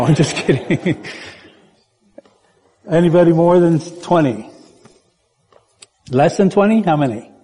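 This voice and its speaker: A man's voice: fairly high pitch, dark tone, breathy edge. A man born in Puerto Rico preaching as a guest speaker in a church, chatty and playful, putting questions to the congregation. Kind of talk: sermon. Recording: church hall with long reverb.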